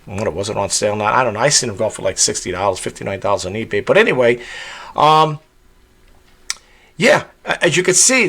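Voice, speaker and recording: A man talks through a casual tutorial vlog with a gravelly, monotone voice. He rambles, circling back with fillers and false starts as he explains things to his viewers. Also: mid-range voice. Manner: rambling, many fillers and restarts. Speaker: content creator.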